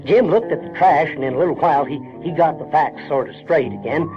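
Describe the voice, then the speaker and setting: An actor playing an uneducated, conscience-troubled Southern boy who narrates an audio drama in a halting, rustic way. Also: a little high, natural voice. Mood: plain, storytelling. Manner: halting, repeats words, rustic grammar. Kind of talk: folksy first-person audio drama narration. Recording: dull vintage radio-drama recording, music behind.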